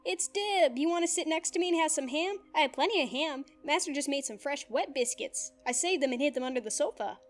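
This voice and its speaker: A woman voicing an eager, childlike male robot sidekick who babbles excitedly. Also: high voice, a little fast, few pauses, faint music behind, pitched above the performer's own voice. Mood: gleeful.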